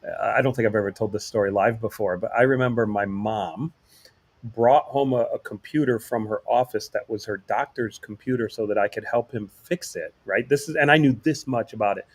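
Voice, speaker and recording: A man's voice: on the low side, brisk, breathy edge. A man co-hosting a podcast, sounding nasal while getting over the flu, chatting and joking in a rambling, casual way. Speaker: podcast co-host. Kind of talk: casual chat.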